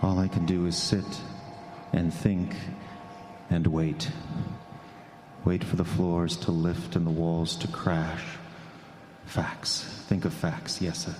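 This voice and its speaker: A man acting a haunted chemist in an old-time radio play, low-pitched and brooding. He speaks in halting, repeated thoughts full of dread, in a long hall reverb. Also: darkish tone. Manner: halting, repetitive interior monologue. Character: guilt-ridden male chemist brooding in dread. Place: stage before a live audience. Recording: echoey live hall, some noise.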